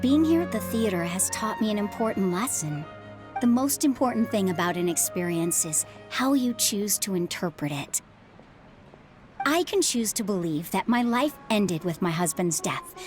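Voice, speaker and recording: A woman playing a calm, reassuring, philosophical middle-aged mother and former adventurer in a video game. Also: a little high, lightly acted.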